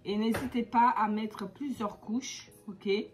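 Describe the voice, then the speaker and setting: A woman's voice: mid-range voice, fairly even intonation, a little slow. Woman w a blocked nose doing a beauty how-to, chatty, lots of ums, faint music under. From Comoros. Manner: chatty, many hesitations. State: stuffy nose from a cold. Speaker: beauty vlogger. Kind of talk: casual how-to vlog.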